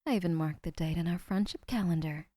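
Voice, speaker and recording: A young woman voicing an adoring, obsessive female kidnapper who gushes softly and often whispers. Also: fairly low pitch, fast talker, no room sound, close to an everyday voice. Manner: soft, gushing, often whispering. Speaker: voice actor. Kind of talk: audio roleplay.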